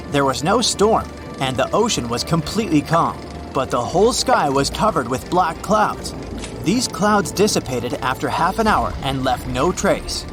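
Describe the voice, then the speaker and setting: A male narrator reads an explainer script in a fairly high voice. His pitch moves in lively swings and his delivery is conversational. Music plays behind him. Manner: conversational, rhetorical questions.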